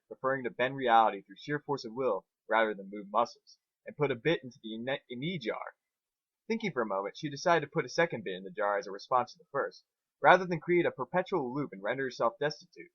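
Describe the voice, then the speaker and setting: A man with a mid-pitched, very animated voice works as reader and co-host. His delivery is an informal dramatic storytelling style. The recording is dry and slightly lo-fi. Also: fast talker, dry recording.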